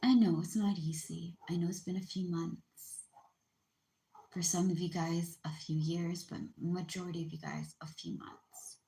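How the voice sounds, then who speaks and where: A woman gently comforting viewers in a livestream reading, speaking softly and unhurried, often close to a whisper. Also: lowish voice, lively intonation, reverberant, rough recording. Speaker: spiritual reader on a livestream.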